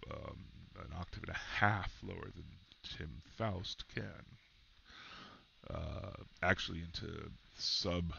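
A man with a very low voice talking casually and halting a bit as he explains, recorded indoors on a headset microphone. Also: gravelly, sharp tone, dull audio, a little hiss or hum. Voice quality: very low, deep voice. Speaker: person testing a microphone. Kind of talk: casual spoken mic test. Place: indoors at a computer.

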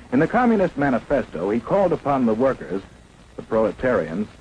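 A man's voice: mid-range voice, darkish tone, muffled recording. A man narrating a 1950s propaganda film in an emphatic, declamatory way. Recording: faint hiss of an old 1950s film soundtrack.

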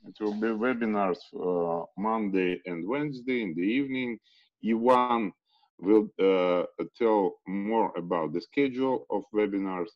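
A man runs an online meeting in English, pausing often and restarting his sentences. His voice sits low, and the video call audio comes through muffled and dull. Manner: halting, many fillers and restarts. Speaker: webinar host. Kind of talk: hesitant online meeting hosting.

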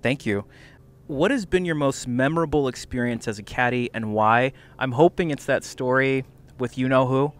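A man's voice: mid-range voice, moderate pace. A man hosting a golf interview, reading out prepared questions in a plain, scripted way. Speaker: interview host.

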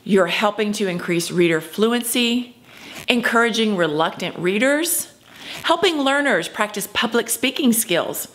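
A woman's voice: mid-range voice, lively intonation, a little slow, fairly bright tone, a little hiss or hum. A woman in her fifties presenting a scripted instructional video as a drama teacher, speaking emphatically to camera. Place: indoor room at home.

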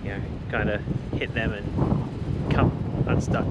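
A man's voice: fairly low pitch, steady tone, takes it slowly, dull audio, heavy background noise. Laid-back man vlogging outdoors. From Australia. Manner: enthusiastic, laid-back slang. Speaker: travel vlogger. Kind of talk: casual travel vlog. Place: outdoors on windy coast. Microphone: handheld camera at arm's length. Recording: loud music underneath.

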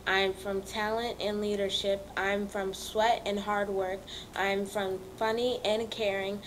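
A woman reciting a poem line by line in a steady, list-like rhythm. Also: medium pitch, measured pace, a little hiss or hum, rough recording. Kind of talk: reciting a poem in a played video.

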